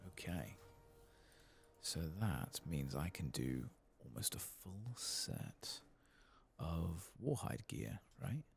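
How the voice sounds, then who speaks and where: Very deep, creaky soft-spoken man. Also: very animated, slow pace, slight background noise. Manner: murmuring, musing to himself. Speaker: host of a gaming ASMR channel. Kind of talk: soft-spoken, whispered ASMR gameplay commentary.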